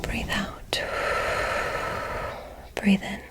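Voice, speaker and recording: A woman voicing a gentle, curious alien doctor in an ASMR medical roleplay, whispering soothing, softly formal instructions. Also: drawn-out words. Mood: soothing, clinical. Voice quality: breathy whisper.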